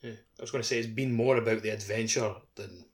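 A man's voice: fairly low pitch, steady tone, quick pace. A man co-hosting a podcast, chatting casually about video games. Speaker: podcast co-host. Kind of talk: casual gaming podcast chat.

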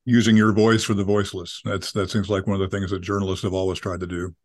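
A man's voice: low-pitched, little pitch movement, quick pace, no echo, clean studio sound. A man interviewing a journalist, asking long questions with hesitant restarts. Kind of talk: campus podcast interview.

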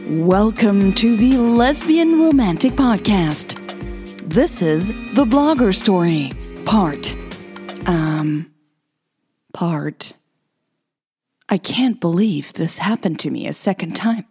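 A woman podcast host narrating an audio drama in a soft, unhurried, breathy way. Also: mid-range voice, expressive, over music. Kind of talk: audio drama storytelling with informal podcast intro.

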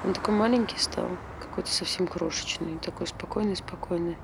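A woman's voice: on the low side, fairly quick, vocal fry, noisy background. An actress playing a grieving, distracted woman who insists all is fine, in short repetitive phrases, in naturalistic stage drama. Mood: numb grief. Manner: clipped short phrases, repeats herself.

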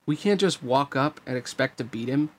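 A man's voice: mid-range voice, lively intonation, normal speed, close to an everyday voice. A man voicing a good-natured, folksy cartoon dog who frets in a storybook reading. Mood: worried.

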